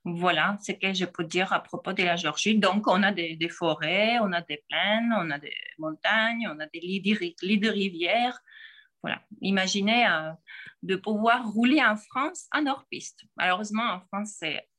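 A woman in her forties with a Georgian accent, answering interview questions in a halting way, with frequent pauses and restarts. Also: medium pitch, a bit bright. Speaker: podcast guest.

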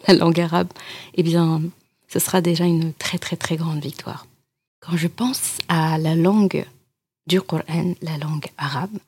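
Young woman host, lowish breathy voice, earnest and gentle, dry close sound. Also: no echo. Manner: earnest, speaks gently and directly to the listener. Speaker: podcast host and Quran teacher. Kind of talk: reflective spoken podcast talk.

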